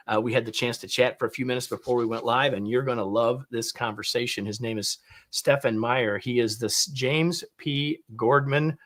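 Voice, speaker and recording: A man's voice: medium pitch, a bit bright. An older man hosting a leadership podcast, reading promos and chatting with a joking manner. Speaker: podcast host. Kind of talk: podcast interview.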